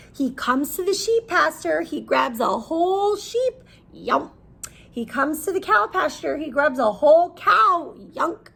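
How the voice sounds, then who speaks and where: A woman reading a picture book aloud like a teacher, pausing to explain words simply and ask young listeners questions. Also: high voice, creaky, a bit bright. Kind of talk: animated read-aloud with questions and word explanations.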